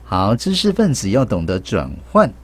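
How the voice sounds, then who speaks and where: A man's voice: on the low side, wildly swooping pitch, moderate pace, no echo. A man with a Taiwanese accent hosting a language quiz on the radio, guiding the discussion. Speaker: host of a language-teaching radio segment. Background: music bed.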